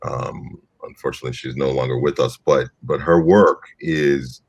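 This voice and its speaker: A man on a discussion panel who speaks haltingly and restarts his sentences often. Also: very deep voice, steady tone, creaky, slightly rough.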